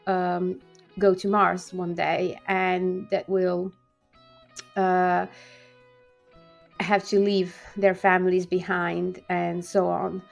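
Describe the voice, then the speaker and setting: Woman host from Serbia, even and level voice, a gravelly edge, halting talk full of ums, faint guitar music underneath. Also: medium pitch. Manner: hesitant, many fillers and restarts. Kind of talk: casual talking-head commentary.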